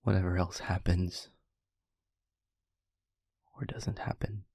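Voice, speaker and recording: A man playing a tender, introspective demon in an intimate audio roleplay, speaking haltingly in a breathy, husky half-whisper. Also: deep voice, level tone, a little mellow, no room sound, clean studio sound, full character voice. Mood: wistful, reflective. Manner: slow, halting, reflective delivery. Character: introspective, tender male demon, once arrogant, now gently regretful.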